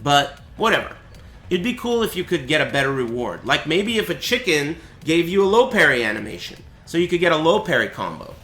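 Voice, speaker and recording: A man with a raspy, cracking voice, teaching a fighting game on a live stream in a casual, explanatory way. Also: on the high side, sing-song, brisk, pushed and bright, roomy sound. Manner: chatty, explanatory, asks "right?" often.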